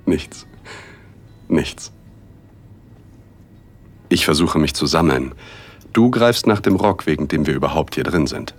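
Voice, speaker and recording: A man narrating a first-person erotic audio drama in a hushed, intimate, unhurried way, with a husky voice. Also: extremely low pitch, animated. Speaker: narrator and male lead.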